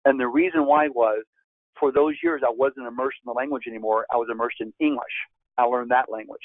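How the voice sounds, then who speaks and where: A man in his fifties presents a real estate course in an emphatic teaching manner, his pitch jumping up on key points. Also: fairly even intonation, a little fast, no echo. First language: Spanish. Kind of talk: webinar presentation. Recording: tinny phone-like line, dry.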